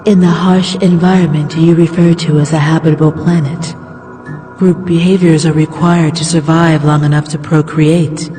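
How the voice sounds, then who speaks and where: A man voicing a detached, inhuman god who lectures in a clinical, measured, condescending way in a motion comic. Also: a little high, dark tone, lightly acted. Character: detached inhuman god entity, coldly disdainful of humans. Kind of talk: dramatic comic narration. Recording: long reverb, faint music behind.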